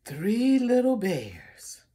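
A woman's voice: a little high, wildly swooping pitch, very slow, dark tone, slightly rough. An older woman reading a picture book aloud to children, theatrically drawing out phrases and adding asides to the listeners. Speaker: storybook reader. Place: small room at home, indoors.

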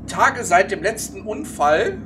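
A man's voice: high-pitched, expressive, unhurried, noisy background. A man narrating a tabletop game as game master over a group video call. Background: music.

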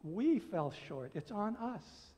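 An American man in his sixties preaching a sermon as a pastor, earnest and emphatic, phrasing deliberately with pauses. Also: sits high, big pitch swings, dark tone. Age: 60s. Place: church sanctuary, at a pulpit. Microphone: gooseneck pulpit microphone. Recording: reverberant church hall.

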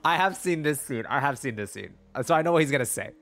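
A man's voice: mid-range voice, expressive, quick pace, gravelly, a bit bright. A man in his twenties giving casual, sassy, slangy reaction commentary as a game streamer. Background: game music.

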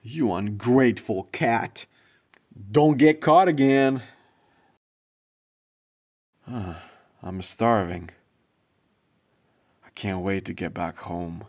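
Guy doing a sad jumpy young dude for a manga dub, soft-hearted, gravelly, takes his time. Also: lowish voice, extremely slow, pauses often, heavily acted. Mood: gloomy, brooding. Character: heartbroken young man, gloomy, kindly, easily startled.

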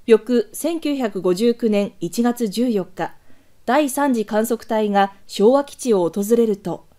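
Female archive-news narrator, higher-pitched with a mellow, soft-edged tone; formal, measured scripted read.

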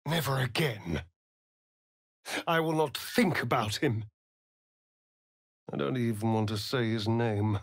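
A man's voice: mid-range voice, slow, long pauses, no room sound, studio quality. An actor voicing a sly, sardonic male vampire rogue in a video game, with a theatrical, drawling manner. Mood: bitter. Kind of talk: video game character voice lines.

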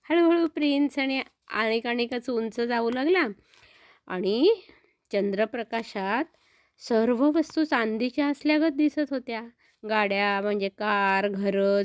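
उंच आवाजातली बालकथा सांगणारी बाई. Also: female voice, on the high side, darkish tone, no room sound, studio quality. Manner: explains words simply, addresses young listeners. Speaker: children's storyteller. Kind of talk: narrating a children's story.

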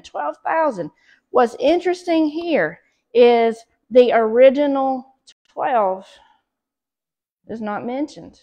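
A middle-aged woman with a Southern US accent teaching a church Bible study, reading scripture aloud and then explaining it with pauses and fillers, recorded by a camera at a distance in a small fellowship hall. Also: on the high side, darkish tone. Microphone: far camera.

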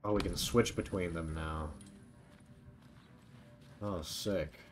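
A man's voice: deep voice, takes it slowly, a little hiss or hum. A man giving casual gameplay commentary in short, offhand murmured remarks. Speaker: let's play streamer.

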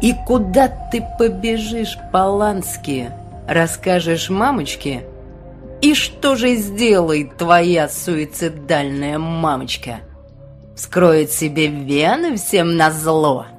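A woman's voice: fairly low pitch, lively intonation, slow pace, soft background music. A woman voicing a cruel, taunting teenage school bully with a mocking manner, in an audiobook. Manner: mocking, sneering.

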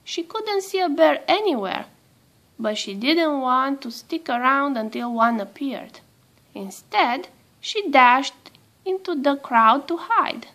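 A woman reading a children's storybook aloud in an expressive, measured storytelling manner. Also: high-pitched. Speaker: storybook reader.

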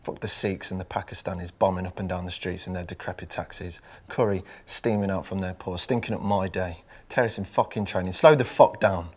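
A man's voice: sits low, steady tone, a little fast, hardly any pauses. A man acting an embittered, hate-filled man in a relentless, controlled, venomous film monologue. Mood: seething, contemptuous. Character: embittered, hate-filled man cursing his whole city.

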